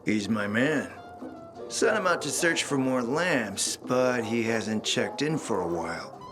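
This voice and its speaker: An actor playing a suave, scheming middle-aged spymaster in a video game, speaking in a theatrical, smooth, insinuating way. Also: male voice, mid-range voice, animated, slow. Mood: persuasive, smug. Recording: loud music behind the voice.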